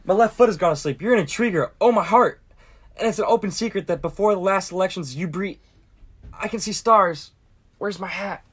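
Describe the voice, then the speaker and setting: An actor playing a nervous, touchy hypochondriac landowner in a stage comedy, speaking in a fussy, formal way that stumbles when agitated. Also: male voice, sits high, a little fast, slightly rough. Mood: panicked, ailing. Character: nervous, touchy hypochondriac landowner, a male suitor prone to outrage.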